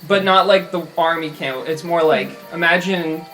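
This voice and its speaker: A tabletop game player casually describing a character in halting, improvised phrases. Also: medium pitch, a bit bright, soft background music, rough recording.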